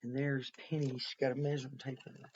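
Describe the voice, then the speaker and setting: A woman's voice: extremely low pitch, level tone, fast talker, a little mellow, muffled recording, slight background noise. A woman kindergarten teacher reading a picture book aloud to young children and explaining it simply as she goes.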